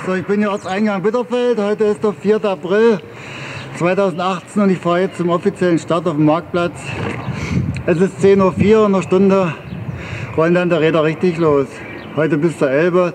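Middle-aged German traveler presenting his slideshow live; higher-pitched male voice, chatty, talks straight to the crowd in a big echoing theatre. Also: steady tone, normal speed, darkish tone, a little hiss or hum. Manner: chatty, explanatory, addresses audience directly. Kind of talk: live slideshow talk to an audience. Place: large theatre hall. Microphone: handheld microphone. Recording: reverberant hall.

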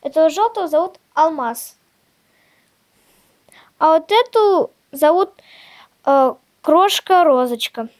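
A female host chatting casually in a toy unboxing video, showing things step by step in a simple, halting way. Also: high-pitched, animated, darkish tone. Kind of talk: casual unboxing vlog chat.

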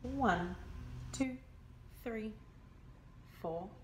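A young woman reads a picture book aloud to children in an expressive, emphatic storytelling style. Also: a little high, very slow, a little hiss or hum. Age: young adult. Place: small indoor room by a window.

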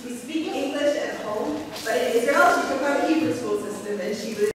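A woman in her forties, mother of the girl, gives a heartfelt and halting speech at a religious ceremony. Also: fairly high pitch, noisy background. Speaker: mother of the bat mitzvah girl. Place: small synagogue hall with a seated congregation. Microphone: far room camera. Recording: echoey hall from far off.

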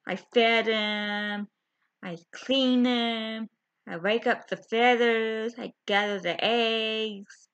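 A young woman chatting to her webcam from a small bedroom, halting, with many fillers and restarts. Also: a little high, fairly even intonation, fairly bright tone. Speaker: vlogger. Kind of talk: casual chat to camera. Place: small bedroom with window blinds. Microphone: webcam at close range. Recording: dull lo-fi webcam audio.

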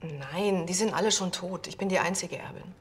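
An actress playing a suspicious, grasping widow in a television crime drama. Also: female voice, on the low side, normal speed, lightly acted. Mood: indignant.

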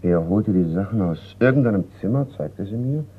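An actor playing a reserved, courteous businessman and collector in a radio crime play, speaking in a measured way. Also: fairly low pitch, lively intonation, lightly acted. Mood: guarded. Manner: measured, polite. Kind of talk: German radio drama acting. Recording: tinny, noisy radio recording.

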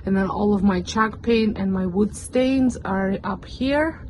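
A woman's voice: mid-range voice, a little slow, darkish tone, slight background noise. A middle-aged woman giving a casual, rambling walkthrough of her basement craft room, full of fillers, recorded on a phone camera. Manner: chatty, rambling, with frequent fillers and restarts. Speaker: home organizing vlogger. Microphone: phone camera, handheld and on a stand.

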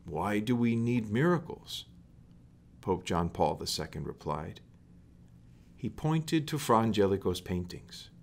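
Slow-paced man reading a story. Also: on the low side, big pitch swings, gravelly, a little mellow. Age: middle-aged. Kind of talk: read-aloud children's story narration. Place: small plain room indoors.

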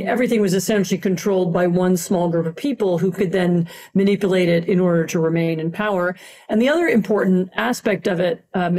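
A middle-aged woman, a guest historian in a podcast interview, explaining in a measured way with frequent "um" and "you know". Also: medium pitch, mellow.

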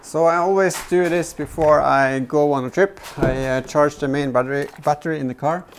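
A man's voice: a little high, steady tone, moderate pace, noisy background. A man explains things casually in a vlog, hesitantly and often repeating words. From Norway. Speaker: vlogger, DIY car channel host. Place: home garage workshop, indoors.

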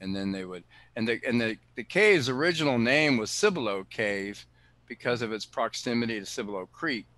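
A man, director of a cave preserve, answering questions on a video call in an explanatory way, often pausing with fillers and restarting sentences. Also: medium pitch, expressive, fairly bright tone, no room sound. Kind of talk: answering questions in an online talk.

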